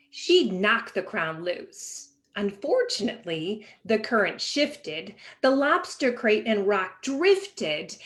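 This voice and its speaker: A woman reading a children's picture book aloud in an expressive, animated way with dramatic pauses. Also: medium pitch. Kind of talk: expressive children's storybook narration.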